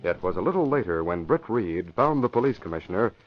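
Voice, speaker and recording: An actor serving as the grave narrator of an old radio crime serial, reading scripted dramatic narration. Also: male voice, low voice, sing-song, quick pace, soft background music. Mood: measured, suspenseful. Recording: muffled old radio recording.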